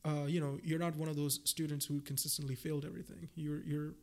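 Higher-voiced young man from India, talkative. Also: level tone, fast, creaky, a little mellow. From India (Gujarat). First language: Gujarati. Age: young adult. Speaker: podcast guest, PhD researcher. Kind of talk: casual interview chat.

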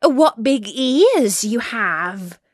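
A woman voicing an innocent, polite little country girl in a children's storybook, with wide-eyed, drawn-out wonder. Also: on the high side, very animated, no echo, clean studio sound, heavily acted. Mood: wondering, amazed.

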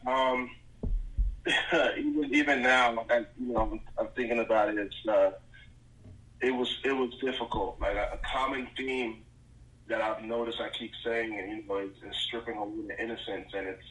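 A man's voice: lowish voice, steady tone, normal speed, a bit bright. A man being interviewed as a parent, speaking reflectively and hesitantly. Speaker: guest parent. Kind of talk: casual interview answer. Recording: distant and echoey mic.

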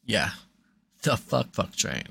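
A man co-hosting a podcast in casual chat, blunt, swearing freely and stating his opinions flatly. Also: on the low side, slow, creaky, breathy edge. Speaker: podcast co-host.

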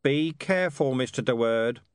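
Man acting a needling police commander, animated. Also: medium pitch, moderate pace, clean tone, no echo, studio quality, pitched above the performer's own voice, close to an everyday voice. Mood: threatening. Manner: sardonic, pressing, growling at times. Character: gruff, wry police commander, male, needling and stubborn. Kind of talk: audiobook character dialogue.

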